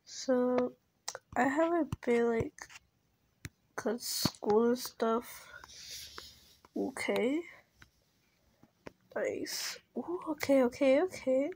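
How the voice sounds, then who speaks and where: A woman's voice: sits high, drawn-out words, pauses often, a little mellow. A young girl chatting casually while playing a game, rambling and restarting, sometimes dropping to a whisper. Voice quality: rambling, often trails into a whisper. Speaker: game video creator. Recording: noisy phone recording with clicks.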